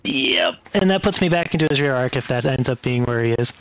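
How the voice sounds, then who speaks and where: A man's voice: mid-range voice, lively intonation, fairly quick, a bit bright, clean tone, low-quality recording. A man talking casually through moves and rules as a player in a tabletop game. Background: music.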